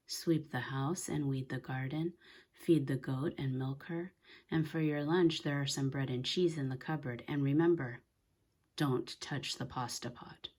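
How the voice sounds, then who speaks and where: Storybook old witch, female, read by a woman narrator: commanding, instructive, husky edge, incantatory. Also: rumbling low, fairly even intonation, unhurried, darkish tone. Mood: firm. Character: old village witch, singing spells, bossy and firm.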